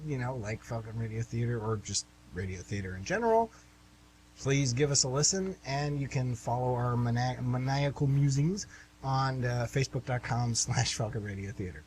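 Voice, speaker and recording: Man, radio theatre host and narrator, informal, mid-pitched, amateur broadcast, faint hum. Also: moderate pace, rough recording. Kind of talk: informal show introduction and stage narration.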